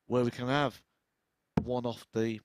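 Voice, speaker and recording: A man's voice: medium pitch, fairly even intonation, a little slow, clean tone, no room sound. A man co-commentating on live darts, giving enthusiastic, opinionated analysis and predictions. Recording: noisy live commentary feed.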